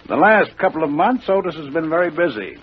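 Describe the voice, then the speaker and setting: An American actor playing a sarcastic, college-educated police lieutenant in a 1950s radio drama, articulate and needling. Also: male voice, on the high side, big pitch swings, a little fast. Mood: lecturing. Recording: dull, noisy old radio recording.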